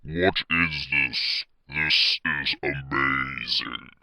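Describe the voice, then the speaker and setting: A man voicing a pompous, melodramatic evil-genius baby pony with a booming villain's voice in a story reading. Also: very slow, creaky, sharp tone, no room sound, studio quality, full character voice. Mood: gleeful, grandiose. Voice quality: very deep, booming voice.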